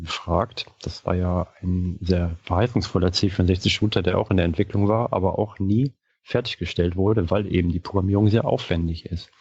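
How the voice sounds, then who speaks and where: A man with a low, husky voice co-hosts a podcast. He explains and reads out notes, and the recording sounds a little dull and lo-fi. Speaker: podcast co-host. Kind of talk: podcast talk, partly reading out a written answer.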